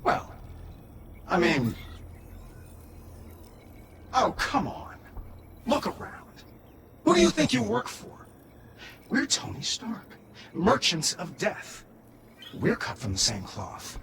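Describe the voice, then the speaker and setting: Cocky ruthless game ai assistant, brash n sarcastic, high voice all over the place. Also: male voice, wildly swooping pitch, slow pace, creaky, pauses often, noisy background, full character voice. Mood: cocky, scornful. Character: cocky, ruthless, wisecracking AI assistant of a weapons maker. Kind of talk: video game voice acting.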